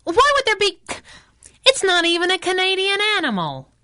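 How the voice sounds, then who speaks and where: An actress playing a spunky, excitable young sidekick in a radio drama. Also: female voice, very high voice, normal speed, full character voice. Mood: chatty. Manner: excitable, lively. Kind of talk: 1930s-style radio drama acting.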